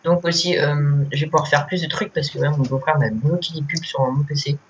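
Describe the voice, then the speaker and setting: A young man who has a cold, joking around excitedly while playing an online game and stumbling over his words. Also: on the high side, brisk. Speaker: gamer and channel host. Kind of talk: casual gaming chat. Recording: slightly muffled home mic with faint hiss.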